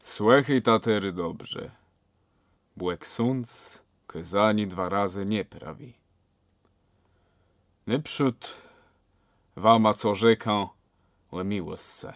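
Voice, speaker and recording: A man's voice: fairly low pitch, drawn-out words, pauses often. A man playing a jovial, preachy village priest with a Kashubian accent in an amateur radio play, speaking in a sing-song sermon manner. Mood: preachy, folksy advice. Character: older male village priest, jovial, preachy, counselling a young couple. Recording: very reverberant hall-like sound.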